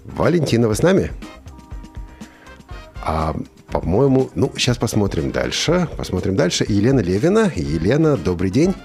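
A man's voice: lowish voice, huge pitch swings, moderate pace, gravelly, darkish tone, faint music behind. A man hosting an online talk show, interviewing guests in a conversational way. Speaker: host.

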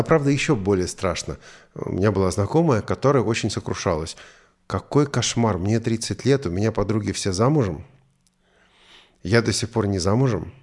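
A man talking conversationally in a discussion, earnestly and deliberately, with long pauses as he reflects and tells stories. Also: on the low side, darkish tone.

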